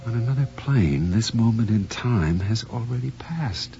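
An actor playing an obsessive, excitable radio tinkerer who mutters to himself and stammers when agitated, in a radio drama. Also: male voice, medium pitch, unhurried, gravelly, faint music behind. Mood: excited realization. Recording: dull audio with faint hum.

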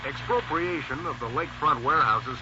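Formal newsreader through muffled radio. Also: male voice, medium pitch, a loud crowd. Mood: neutral, formal. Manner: measured, impersonal announcing. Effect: heard through a radio set. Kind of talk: news bulletin within radio drama. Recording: dull shortwave radio sound.

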